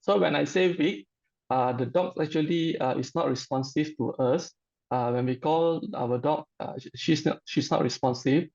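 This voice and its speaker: A middle-aged man with a Malaysian accent, giving an informal personal testimonial in a halting, hesitant way. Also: fairly high pitch, gravelly, darkish tone, muffled recording.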